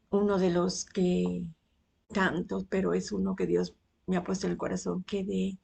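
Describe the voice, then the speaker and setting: Cuban woman, 60s, faith testimony; husky voice, slow and unhurried, frequent pauses. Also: mid-range voice, warm tone. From Cuba. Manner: unhurried, pausing often between phrases.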